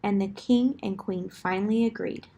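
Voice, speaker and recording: A woman narrating a children's fairytale in an expressive storybook style, with deliberate dramatic emphasis. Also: medium pitch.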